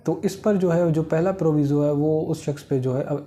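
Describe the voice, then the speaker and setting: A man's voice: a little high, normal speed, warm tone. A man with a Pakistani accent giving an informal, explanatory law lecture, mixing English legal terms into his explanations.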